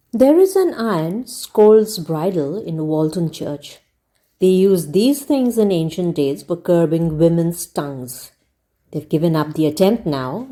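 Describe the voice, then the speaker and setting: A woman reading a comic novel aloud as a storyteller, in measured read-along narration with expressive emphasis. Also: mid-range voice.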